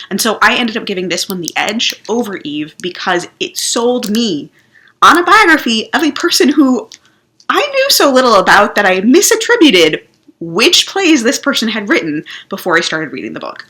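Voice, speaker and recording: A woman's voice: a little high, sharp tone. A woman giving a casual, chatty vlog review of the books she has read, thinking aloud as she goes. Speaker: book reviewer.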